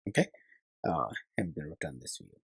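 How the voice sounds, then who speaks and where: Man, coding tutorial instructor, husky, lively varied pitch, thinking aloud, step-by-step screencast narration. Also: brisk, no room sound, clean studio sound. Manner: hesitant, thinking aloud while working.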